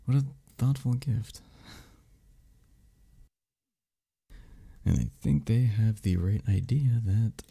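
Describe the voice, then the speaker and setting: A man playing a flirty, friendly club member in an ASMR romance roleplay, teasing and reassuring in a breathy, gravelly voice. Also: lowish voice, measured pace, mellow, full character voice. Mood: friendly, curious. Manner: teasing, reassuring, chuckles between phrases. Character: flirty, friendly male cuddle club member showing a newcomer around. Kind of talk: ASMR romance roleplay, one-sided conversation.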